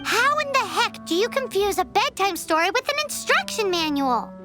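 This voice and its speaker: A woman's voice: very high-pitched, sing-song, normal speed, over music. A woman voicing a small, cheeky floating fairy companion in a game, with sassy, teasing quips.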